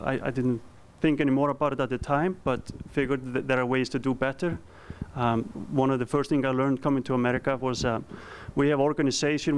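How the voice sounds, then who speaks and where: A man in his thirties from Iceland with an Icelandic accent, giving a conference presentation with a handheld mic, earnest and halting with frequent ums. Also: medium pitch. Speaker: company founder giving a presentation. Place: conference room.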